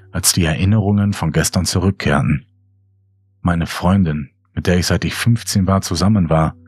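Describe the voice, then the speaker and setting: Casting: male creepypasta narrator, very low and husky, dry close sound, faint music bed. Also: dry recording. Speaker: horror story narrator. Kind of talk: scripted dramatic narration, creepypasta reading. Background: music under the voice.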